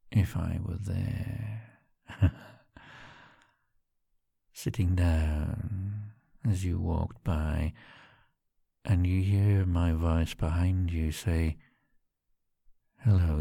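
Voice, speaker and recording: A man speaking softly and teasingly to the listener in an intimate monologue, often dropping to a whisper and chuckling between phrases. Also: low voice, fairly even intonation, drawn-out words, vocal fry, long pauses, no room sound, clean studio sound.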